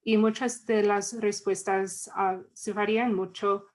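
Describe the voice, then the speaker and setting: A woman's voice: medium pitch, fairly even intonation, slow pace, darkish tone, rough recording. A woman presenting survey results in an academic discussion, speaking hesitantly with many fillers and mixing in English phrases. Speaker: student researcher.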